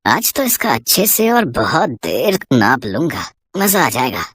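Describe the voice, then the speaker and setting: A woman voicing a lecherous, sly male tailor in a cartoon story, smooth-talking, boastful and wheedling. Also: a bass voice, big pitch swings, unhurried, fairly bright tone, no echo, studio quality, pitched below the performer's own voice, heavily acted. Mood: leering, scheming. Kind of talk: exaggerated cartoon story voice acting.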